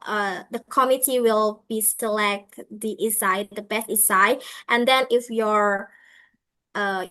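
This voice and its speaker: A woman organizer with a fairly high, smooth voice gives informal explanations online. She speaks slowly and evenly, in halting non-native English. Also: bright, forceful tone. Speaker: organizer answering questions.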